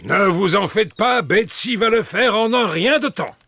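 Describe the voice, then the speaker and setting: A man's voice: high voice, expressive, unhurried, pushed and bright, faint music behind, exaggerated voice. A man voicing a hearty, eager old grandpa pig in a preschool cartoon, jovial and confident.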